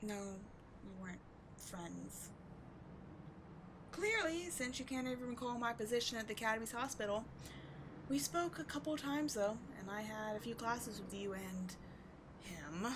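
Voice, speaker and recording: A woman's voice: a little high, normal speed, long pauses, natural voice. A woman playing a bitter, wounded villain who secretly cares, sardonic and exasperated, in a dramatic ASMR audio roleplay. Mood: reluctant, weary. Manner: sardonic, exasperated, emotionally intense. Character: bitter, wounded female villain secretly caring for rival sidekick. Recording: clearly audible background noise.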